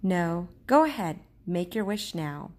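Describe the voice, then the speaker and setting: A woman voicing a soft-spoken, calm, kindly ancient enchantress in a storybook. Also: fairly low pitch, takes it slowly. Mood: calm, encouraging.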